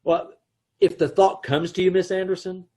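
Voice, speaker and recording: A man playing a wisecracking advertising man who also narrates, in an old-time radio comedy, deadpan and dry, over a video call. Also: high-pitched, moderate pace.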